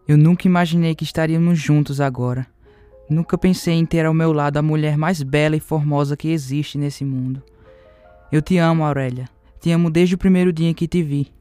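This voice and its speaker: Actor as smitten young Brazilian gentleman for radio romance, soft dark tone, earnest and formal. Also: fairly high pitch, steady tone, a little fast, clean tone, soft background music, close to an everyday voice. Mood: adoring. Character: smitten, penniless young suitor, earnest and flattering. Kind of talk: radio soap acting.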